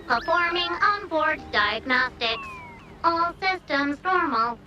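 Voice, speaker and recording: A woman's voice: high voice, lively intonation, slow, lo-fi sound, music in the background. A woman voicing a small, childlike robot in a video game, innocent and literal, speaking in clipped announcements. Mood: mechanical, earnest. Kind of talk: video game character acting.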